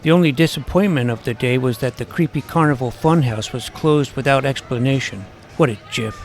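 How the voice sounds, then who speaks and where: A man playing an earnest, self-important junior high superhero who narrates his own adventure with mock-dramatic delivery. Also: fairly quick, close to an everyday voice. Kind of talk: scripted first-person story narration.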